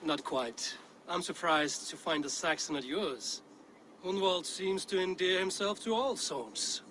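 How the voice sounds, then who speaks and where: An actor playing a stern, steady Viking warrior in a game cutscene, speaking in a blunt, measured, weighty way. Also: male voice, high-pitched, slight background noise, poor audio. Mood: steady, wary. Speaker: video game lead character. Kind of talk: dramatic game cutscene acting.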